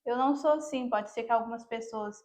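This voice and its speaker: A woman's voice: fairly high pitch, brisk, a little mellow. A Brazilian woman talking casually as a podcast guest, sharing personal experience.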